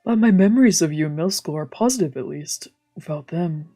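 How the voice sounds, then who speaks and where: Woman voicing a husky, wistful androgynous young man. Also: deep voice, lively intonation, warm tone, exaggerated voice. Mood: wistful, earnest. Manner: casual, confiding, halting. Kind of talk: reading visual novel dialogue aloud. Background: game music.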